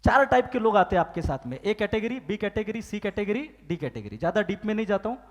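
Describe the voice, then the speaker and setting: A man's voice: high-pitched, big pitch swings, quick pace. A man giving a motivational business training talk, firing questions at the audience and acting out phone calls and excuses. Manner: asks the crowd questions and demands loud answers. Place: large hall with live audience. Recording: long hall reverb.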